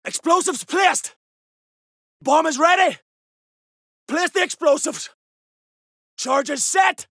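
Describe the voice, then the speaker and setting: Video game commando, Irish male: gruff soldier, high-pitched forceful delivery, brusque urgent callouts. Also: takes it slowly, long pauses, no echo, studio quality, full character voice. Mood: brisk, urgent. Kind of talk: game combat voice lines, short barked callouts. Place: studio, dry close recording.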